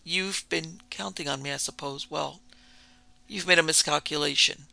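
A man's voice: on the high side, moderate pace, vocal fry, pushed and bright, no room sound, full character voice. A man voicing a domineering older baronet father in a storybook, blustering, scornful and condescending.

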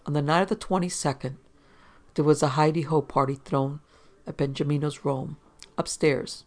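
A man's voice: fairly high pitch, steady tone, moderate pace, natural voice. A man voicing a hard-boiled, impatient private investigator with curt, snapping demands in a pulp detective audiobook. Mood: exasperated, insistent.

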